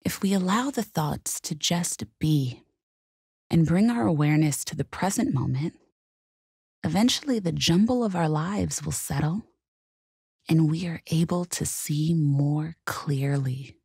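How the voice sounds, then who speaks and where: A woman leading a guided meditation in a calm, gentle, soothing and measured way. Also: sits low, creaky, slightly rough, no room sound, studio quality.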